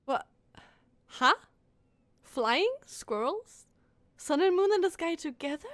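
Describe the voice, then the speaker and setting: A woman's voice: high-pitched, takes it slowly, dry recording. A woman giving casual, incredulous live commentary as she streams a video game, full of questions. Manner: animated, incredulous, asks many questions.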